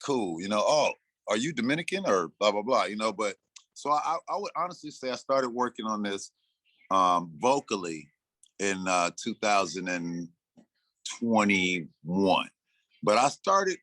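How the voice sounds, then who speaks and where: A man in his sixties, an interview guest, telling stories in a loose, animated way and acting out his own lines. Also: lowish voice, no room sound. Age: 60s. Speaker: interview guest, rapper and producer. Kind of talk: casual interview talk.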